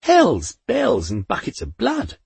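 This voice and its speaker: Brief: male reader playing older aunt, loud and demanding, husky raised voice, dry studio. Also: sits high, sing-song, moderate pace, dry recording, voice pushed up, full character voice. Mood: indignant. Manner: brash, grumbling, demanding. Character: feisty, foul-mouthed older woman, an aunt. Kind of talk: audiobook character voice.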